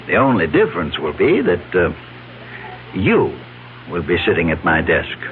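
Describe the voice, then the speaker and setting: Commanding, fatherly older company boss, lively delivery. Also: low-pitched, moderate pace. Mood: proud, persuasive. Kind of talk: 1970s radio drama acting. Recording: tinny old radio recording, crowd behind.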